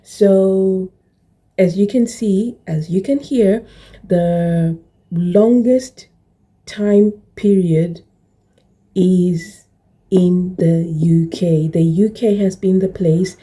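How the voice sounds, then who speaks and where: Slow, very mellow Zimbabwean-British woman. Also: on the low side, husky. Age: early 40s. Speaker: video blogger. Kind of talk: personal reflective talk to camera.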